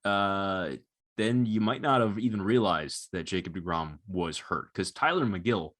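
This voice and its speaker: Low-voiced young male podcast host. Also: measured pace, fairly bright tone. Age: 20s. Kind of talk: casual analytical sports chat.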